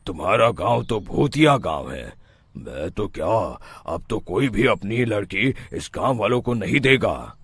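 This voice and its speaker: Man acting a husky, gravelly stern village elder. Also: on the low side, big pitch swings, a little slow, no echo, full character voice. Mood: stern refusal. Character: stern older village men: father-in-law, landlord, exorcist.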